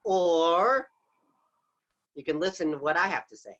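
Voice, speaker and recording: A man playing a smooth-talking, scheming wolf villain in a stage play, with a persuasive, wheedling manner. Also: a little high, moderate pace, fairly bright tone. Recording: dull muffled stage recording.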